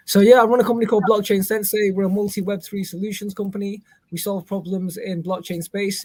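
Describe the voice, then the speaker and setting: A man from Indonesia, a guest introducing himself in a casual interview, relaxed and filling pauses with ums. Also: sits high, dry recording, low-quality recording. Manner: relaxed, many ums, talks in a steady flow. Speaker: guest, Web3 company founder.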